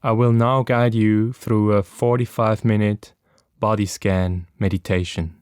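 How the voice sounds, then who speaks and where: Slow, smooth-voiced man guiding meditation. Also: fairly low pitch, level tone, no room sound, clean studio sound. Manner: gentle, unhurried instruction with long pauses. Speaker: meditation teacher. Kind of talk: guided body scan meditation.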